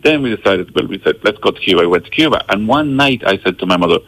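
A man from El Salvador speaking English as a radio guest, talking haltingly with many restarts and fillers. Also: fairly low pitch, lively intonation, a bit bright, poor audio. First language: Spanish. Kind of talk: radio interview.

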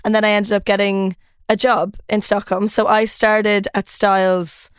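A young Irish woman in her twenties chats easily as a podcast guest, her stories loose and full of "like" and "um". Her voice sits at an ordinary middle pitch. The recording is close and dry. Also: unhurried, clear, resonant tone, no room sound. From Ireland. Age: 20s. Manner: relaxed, chatty storytelling with filler words. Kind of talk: casual chat.

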